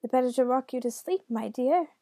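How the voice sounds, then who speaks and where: A woman's voice: high voice, fairly quick, dark tone, dry recording, clean studio sound. A woman voicing a nervous boy who poses as a sweet old grandmother in a told story, cooing and placating. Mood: nervous soothing.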